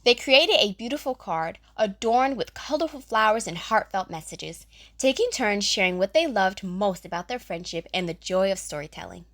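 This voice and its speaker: Lively woman narrating a children's story. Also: mid-range voice, moderate pace.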